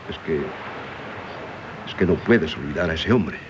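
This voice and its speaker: Radio drama, Castilian Spanish: earnest doctor husband, highly varied intonation, anxious dramatic delivery, slightly noisy vintage sound. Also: male voice, animated, measured pace. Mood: concerned, soothing. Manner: dramatic, urgent questioning. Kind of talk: 1970s radio theatre acting. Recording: dull, noisy 1970s radio recording.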